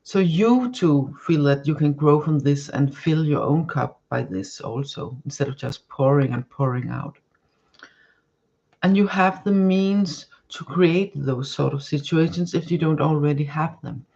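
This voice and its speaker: A woman's voice: low voice, a little slow, vocal fry, warm tone, dull audio. Woman reading tarot cards. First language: Danish. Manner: searching for words, many restarts and fillers. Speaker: tarot card reader. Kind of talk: live-stream tarot reading.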